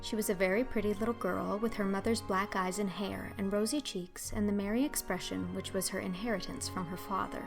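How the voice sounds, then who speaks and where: A woman's voice: mid-range voice, fairly even intonation, fairly quick, creaky, few pauses, over music. A woman narrating a classic children's novel as a scripted audiobook.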